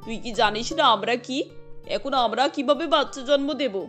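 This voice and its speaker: Casting: female narrator as motherly older hijra elder in a cartoon, high voice, chiding questions, music behind. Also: normal speed, a bit bright, lightly acted. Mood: concerned, chiding. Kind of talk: cartoon story dialogue.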